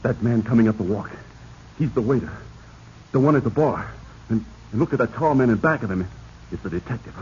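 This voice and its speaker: An actor playing a guilt-ridden, nervous man in a 1940s radio drama, stammering and halting. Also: medium pitch, animated, darkish tone, lightly acted. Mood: panicky. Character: panicky, guilt-ridden man hiding a killing. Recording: noisy, dull 1940s radio recording.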